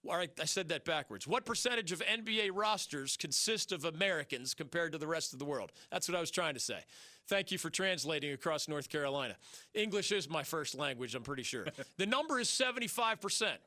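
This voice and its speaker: Sports radio host, male, high bright voice, fast and emphatic, dry studio sound. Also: lively intonation, no room sound. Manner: fast, emphatic, opinionated, rising to rhetorical peaks. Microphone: studio mic.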